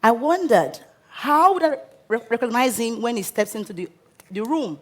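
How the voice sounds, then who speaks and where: A Nigerian woman in her thirties telling her own life story on stage, earnest and measured with pauses. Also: fairly high pitch, lively intonation. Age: 30s. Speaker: speaker. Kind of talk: personal story talk on stage. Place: stage before a live audience.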